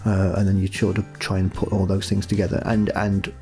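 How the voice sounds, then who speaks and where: Man, low voice, explanatory and conversational, writer in a relaxed interview, music behind. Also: brisk. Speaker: audio drama writer. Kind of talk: casual interview chat.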